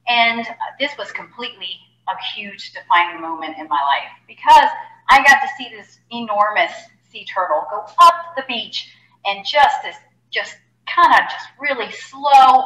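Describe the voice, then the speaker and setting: A middle-aged woman from Costa Rica, a zoologist giving a live animal talk, chatty and animated, with many fillers and restarts, on a headset mic indoors. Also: fairly high pitch, echoey room, poor audio. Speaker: zoologist educator. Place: indoor animal facility room.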